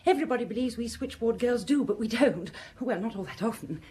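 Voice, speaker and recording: Actress as a high, chatty, nosy switchboard operator. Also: expressive, fast, warm tone, natural voice. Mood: self-justifying. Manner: gossipy, eager, self-justifying. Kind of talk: radio drama acting.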